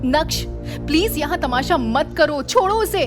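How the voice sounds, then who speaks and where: A woman playing an anxious, gentle young wife who pleads, in an audio drama. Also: sits high, lively intonation, unhurried, over music. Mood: desperate pleading. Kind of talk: Hindi audio drama acting.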